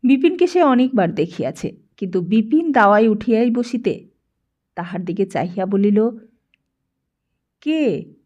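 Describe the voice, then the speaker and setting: A woman's voice: medium pitch, expressive, moderate pace, darkish tone, highs cut off. A woman reading a literary story as scripted audiobook narration.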